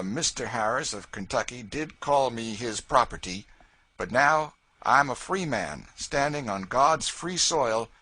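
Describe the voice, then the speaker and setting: A man reading aloud, voicing a defiant young man who speaks slowly and solemnly with force. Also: medium pitch, no room sound, pitched above the performer's own voice, heavily acted. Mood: stern. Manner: slow, solemn, forceful declaiming.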